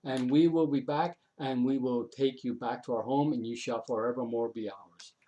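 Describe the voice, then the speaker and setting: A man's voice: medium pitch, steady tone, moderate pace, warm tone, lo-fi sound, close to an everyday voice. An offended band of wee fairy folk from an old folk tale, voiced by a male storyteller. The speech is accusing and insistent, with open menace in every demand. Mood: menacing.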